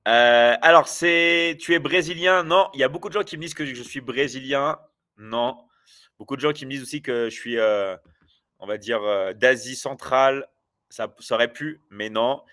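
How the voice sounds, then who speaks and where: A man's voice: medium pitch, normal speed, sharp tone. A man in his forties hosting a casual livestream, chatting loosely with viewers and rambling with many hesitations and restarts. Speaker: livestream host.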